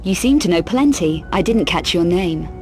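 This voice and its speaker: A woman's voice: lowish voice, expressive, normal speed, a little mellow, soft background music, natural voice. A woman playing a tough, self-assured adventurer in a video game, with a terse, dry, unflappable delivery. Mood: inquisitive. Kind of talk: video game cutscene acting.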